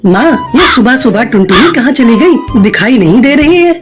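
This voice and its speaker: Woman as a high-voiced male cartoon crow husband. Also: lively intonation, normal speed, lightly acted. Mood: puzzled. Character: grumbling adult male crow husband, practical. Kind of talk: Hindi children's cartoon dialogue. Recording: light music behind voice.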